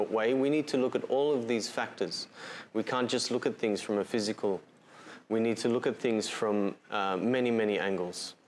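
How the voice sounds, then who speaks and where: A man with an Australian accent and a somewhat low voice explains health topics in a measured, patient way. He sits indoors with a clip-on mic, and faint room ambience sits under his speech. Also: slight background noise. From Bali, Indonesia, and Australia. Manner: measured, calm explanatory delivery with pauses and fillers. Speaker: holistic health practitioner presenting a talk. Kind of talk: livestreamed health talk to camera. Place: indoor room with shelf and framed photos. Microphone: lapel mic, seated facing camera.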